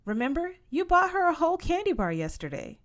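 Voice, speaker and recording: A woman's voice: very high voice, very animated, fairly quick, a bit bright, pitched above the performer's own voice, exaggerated voice. A woman voicing a firm, teasing, no-nonsense Mexican American mother, coaxing and commanding, in a storybook reading.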